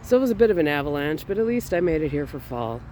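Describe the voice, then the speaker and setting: A man from Minnesota, stuffy from a cold, chatting in a relaxed, rambling way on a walking vlog outdoors. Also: a little high, very fast, slight background noise. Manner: relaxed, rambling, with small laughs and restarts. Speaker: artist vlogger. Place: outdoors in a cemetery on a fall day.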